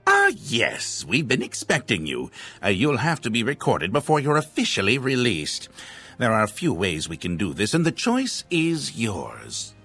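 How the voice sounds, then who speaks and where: Casting: an elderly, officious clerk for a fantasy game, polite, deliberate, very sing-song. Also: male voice, medium pitch, huge pitch swings, sharp tone, slightly rough, faint music behind, full character voice. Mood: courteous, officious. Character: fussy, courteous elderly census official. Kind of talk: scripted fantasy video game dialogue.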